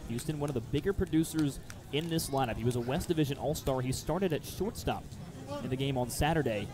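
A man's voice: mid-range voice, a little fast, noisy background. A man calling live baseball play-by-play for radio, mixing clipped phrases with detailed description.

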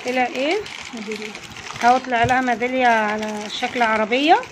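A woman's voice: fairly high pitch, steady tone, a little fast, noisy background. A woman with an Egyptian Arabic accent chatting casually as a channel host, coaxing children along as they open snack bags at home. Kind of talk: casual unboxing chat with children. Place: home, indoors, family around. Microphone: phone held by hand.

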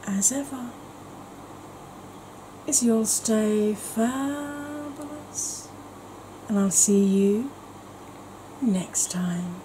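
A woman's voice: a little high, drawn-out words, long pauses, a little hiss or hum, poor audio. A woman, chatting casually and wandering off topic in a home makeup vlog. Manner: rambling, self-mocking, soft-spoken at times. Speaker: makeup vlogger. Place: indoor home room, kitchen.

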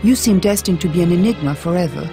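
A woman's voice: on the low side, quick pace, warm tone, soft background music, lightly acted. A woman reading an audiobook as an earnest twenty-eight-year-old island woman writing an intimate letter. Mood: wondering, earnest.

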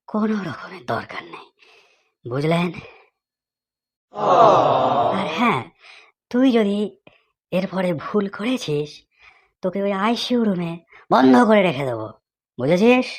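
An actor playing a stern, bossy doctor in a comedy cartoon, scolding. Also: mid-range voice. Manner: slow, scolding, haughty.

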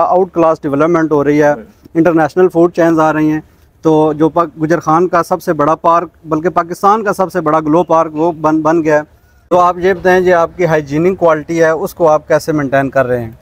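A man's voice: on the high side, dry recording. A man with a Pakistani accent hosting a property vlog, speaking politely and promotionally with English words mixed in. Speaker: host of a property channel. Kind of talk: vlog presenting and interviewing. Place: outdoors in front of a small cafe storefront. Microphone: lapel mic.

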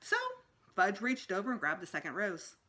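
A woman's voice: mid-range voice, lively intonation, moderate pace, pushed and bright. A middle-aged woman reading a children's book aloud expressively, as for a school storytime. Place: small indoor room at home.

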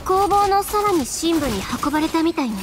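A woman playing a confident, sharp-tongued young fashion designer and fighter in a Japanese game, with a crisp, curt manner. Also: very high voice, lively intonation, a little fast. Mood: composed. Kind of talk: Japanese anime game voice acting. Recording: light game music behind the voice.

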